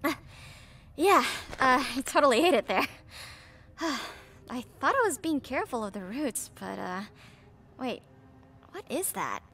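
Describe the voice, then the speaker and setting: A woman's voice: sits high, unhurried, heavily acted. A woman playing a chatty, eager young livestreamer on a vampire hunt in an audio drama, with a casual delivery. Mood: uneasy. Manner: casual, animated.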